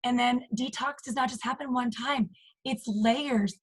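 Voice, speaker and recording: A woman with a hoarse, failing voice, chatting animatedly on a group call and acting out little quotes. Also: a little high, unhurried, rough recording. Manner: animated, excitable, acts out quotes. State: hoarse, losing her voice. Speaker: team leader in direct sales. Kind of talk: casual group call discussion.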